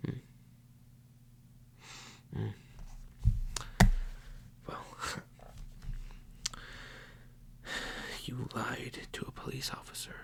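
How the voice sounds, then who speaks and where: A man whispering a playful mock police interrogation as an ASMR roleplay, slow and teasingly accusing. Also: medium pitch, little pitch movement, vocal fry, bright, forceful tone.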